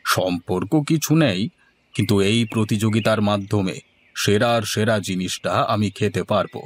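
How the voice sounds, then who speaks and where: A man's voice: on the low side, moderate pace, close to an everyday voice. Man playing a self-important village headman. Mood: self-satisfied. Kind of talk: scripted cartoon dialogue.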